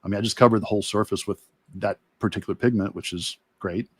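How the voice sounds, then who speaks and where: A man's voice: on the low side, fairly even intonation, quick pace, husky, no echo. A man giving a casual instructional demonstration as an illustrator, thinking aloud in loose, broken asides as he works. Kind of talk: casual instructional talk while working.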